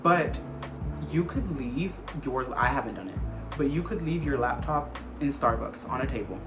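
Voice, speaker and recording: A man's voice: mid-range voice, expressive, moderate pace. Casual young American man over music. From United States. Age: 20s. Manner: rambling, repeats phrases for emphasis. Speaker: vlogger answering viewer questions. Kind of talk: casual Q&A chat to camera. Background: background music.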